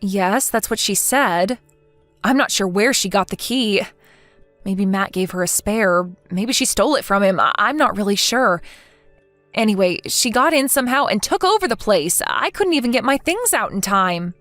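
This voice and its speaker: A woman playing a wronged mother in her forties in a dramatized story, earnest and aggrieved but measured. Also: mid-range voice, expressive, fairly bright tone, no echo, soft background music, lightly acted. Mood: worried, polite. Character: wronged mother over forty, indignant yet polite.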